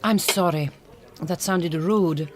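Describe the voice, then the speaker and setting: A woman's voice: fairly low pitch, slow. Proud, reserved Hungarian woman. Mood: frank, proud. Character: glamorous, proud, reserved Hungarian migrant woman, grieving mother. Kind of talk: radio drama acting.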